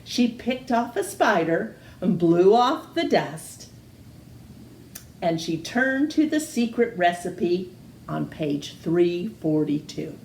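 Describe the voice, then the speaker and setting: A woman reading a picture book aloud to children in an expressive storytelling manner. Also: fairly low pitch, slow, a little hiss or hum. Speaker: storytime reader. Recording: echoey room.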